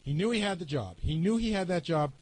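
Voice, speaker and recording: A man's voice: high-pitched, lively intonation, quick pace, a little mellow. A man in a heated, casual radio panel discussion, arguing bluntly and with some profanity. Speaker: radio show staff panelist.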